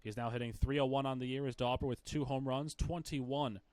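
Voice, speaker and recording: A man's voice: medium pitch, fairly even intonation, fairly quick, a little mellow, dry recording. Male baseball play-by-play commentator, dry sound. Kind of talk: live sports commentary.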